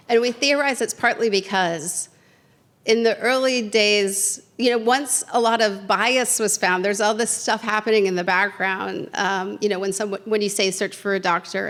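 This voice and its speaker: A woman at a conference, fielding questions from the audience. She thinks out loud, with plenty of fillers and self-corrections, at an ordinary pitch and an everyday conversational pace. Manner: thinking aloud, many fillers and restarts. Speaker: conference speaker. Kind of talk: conference Q&A answer. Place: lecture hall.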